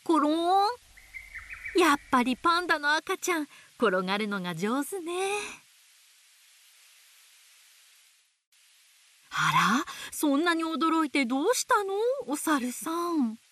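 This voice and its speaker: A woman playing a gentle, motherly owl teacher who reads picture books to toddlers with playful sound words. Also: high voice, very animated, moderate pace, dry recording, full character voice. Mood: gentle, teasing. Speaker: picture book storyteller for babies. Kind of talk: picture book read-aloud for toddlers. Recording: faint background noise of nature. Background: nature sounds.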